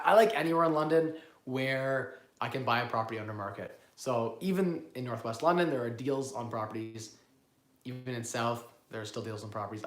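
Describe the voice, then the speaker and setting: A man with a cold, hoarse, hosting a casual live-stream Q&A on investing and rambling as he answers viewers. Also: medium pitch, roomy sound. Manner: rambling, self-correcting, repeats words. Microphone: phone built-in mic, no lapel.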